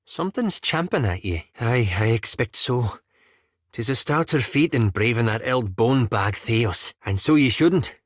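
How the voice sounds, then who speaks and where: A man's voice: medium pitch, expressive, a little slow, dry recording, clean studio sound. A man doing a cheeky elf lass, swooping lilt. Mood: teasing, warm. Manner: folksy, teasing dialect speech. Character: cheeky, brash, earthy elf lass, teasing and bold. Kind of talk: scripted fantasy video game dialogue.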